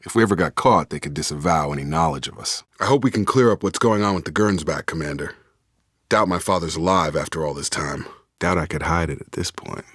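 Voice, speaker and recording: An actor playing a steady, dutiful space operative in a video game, with a clipped, matter-of-fact military delivery. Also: male voice, rumbling low, brisk, husky, no room sound, studio quality, heavily acted. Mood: steady, serious. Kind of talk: scripted video game dialogue.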